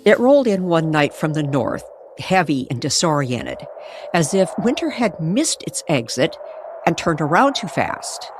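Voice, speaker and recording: A woman narrating a scripted, old-time radio style mystery story with deliberate, suspenseful phrasing. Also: sits low, sing-song. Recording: music playing behind the voice. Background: music.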